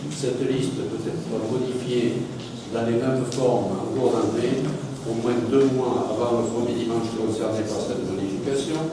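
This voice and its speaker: A French man with a bad cold reading out a formal council resolution, halting, with many fillers and repeated words. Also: mid-range voice. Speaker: council member presenting an agenda item. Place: large council chamber. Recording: echoey chamber recording from far off, noisy.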